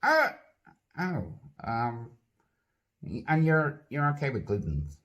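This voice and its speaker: A performer voicing a nosy, clumsy sock puppet host with a lively, bouncing delivery. It pries with awkward, stammering questions and trails off into nervous fillers when things go wrong. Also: medium pitch, slow, dull audio. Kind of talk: comedy puppet sketch.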